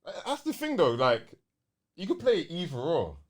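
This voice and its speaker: A man's voice: fairly high pitch, huge pitch swings, normal speed. A man, explaining things loosely and casually as co-host of a gaming podcast.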